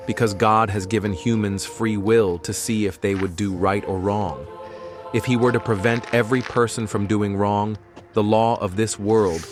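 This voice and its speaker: A man whose first language is Persian, narrating a travel vlog in an earnest, prayerful, unhurried way. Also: lowish voice. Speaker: travel vlogger. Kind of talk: reflective voice-over narration. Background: music.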